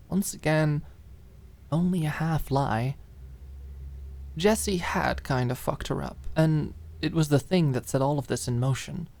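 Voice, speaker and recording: Woman reading an asmr audiobook drama, expressive, takes care over each phrase. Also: low-pitched. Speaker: audiobook narrator and voice actor. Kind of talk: dramatic scripted fiction narration, ASMR audiobook.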